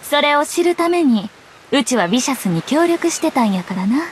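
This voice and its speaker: A woman's voice: high voice, very animated, normal speed, slight background noise. An actress voicing a self-possessed, teasing young woman journalist in a Japanese game, speaking in a nonchalant Kyoto-style Kansai accent. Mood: breezy. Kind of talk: Japanese anime game voice acting.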